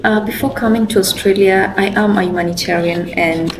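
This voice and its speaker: A young woman with a Kenyan accent, answering interview questions in an earnest, measured way with frequent restarts. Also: mid-range voice, fairly even intonation. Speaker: interview guest. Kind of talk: casual interview. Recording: roomy and distant with background noise.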